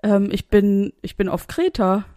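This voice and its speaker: Mellow-voiced woman podcaster, dry sound. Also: medium pitch, a little slow, dry recording. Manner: relaxed, chatty. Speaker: podcast co-host. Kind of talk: casual chat. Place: hotel room. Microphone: remote recording.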